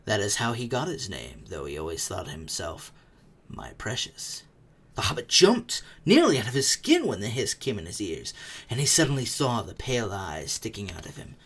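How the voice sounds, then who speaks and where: A storyteller giving a dramatic, suspenseful reading of a book, with phrases often falling away into a hush. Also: mid-range voice, normal speed, husky. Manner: expressive, suspenseful, hushed phrase endings. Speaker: narrator. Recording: faint background noise.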